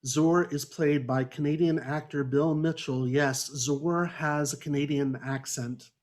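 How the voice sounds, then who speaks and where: Wry, higher-voiced man hosting a podcast. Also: steady tone, a little slow, darkish tone. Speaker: podcast host. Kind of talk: semi-scripted book discussion introduction with ad-libbed asides. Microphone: online group call.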